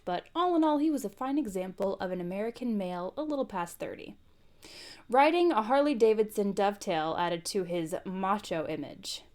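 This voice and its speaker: A woman hosting an informal live read-aloud, speaking in a conversational way as she chats and narrates. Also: mid-range voice. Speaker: host reading a book aloud on a live stream. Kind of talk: informal livestream chat and audiobook-style narration.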